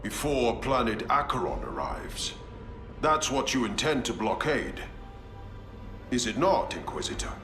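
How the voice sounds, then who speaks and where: An actor playing a stern, distrustful space marine commander speaking in a measured, commanding way. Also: male voice, medium pitch, very animated, roomy sound.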